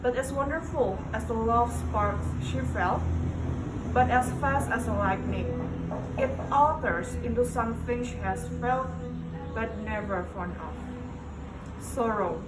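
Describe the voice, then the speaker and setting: A woman's voice: on the high side, unhurried. A woman reading a poem aloud in a recitation style. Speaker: poetry reader. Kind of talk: slow poetry recitation. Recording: echoey, distant mic, clear background noise.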